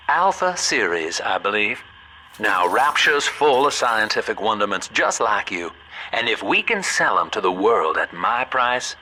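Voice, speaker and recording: A scheming Southern American businessman in a video game, with a bright, forward voice. He talks in folksy, avuncular sales patter, always working an angle. Faint noise sits behind the voice. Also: lowish voice, rough recording. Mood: avuncular, wry. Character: folksy, scheming male businessman, smooth opportunist guide. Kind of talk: video game voice acting, radio guidance.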